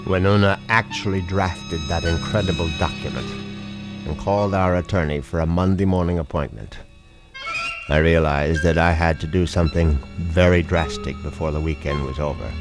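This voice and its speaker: A man's voice: low-pitched, fairly even intonation, normal speed, music in the background. An actor playing a sardonic, exasperated husband of a rich woman, with wry, theatrical delivery in old radio drama style. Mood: grimly calculating. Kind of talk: old radio drama acting, first-person narration and dialogue.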